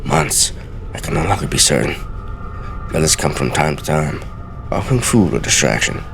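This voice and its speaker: A man with an extremely low, creaky voice hosts a video game playthrough. He swings expressively through his commentary and reads lore aloud with theatrical deliberation, over clearly audible game music. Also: lively intonation, moderate pace, a bit bright. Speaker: let's play host. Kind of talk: game commentary with dramatic reading of in-game texts.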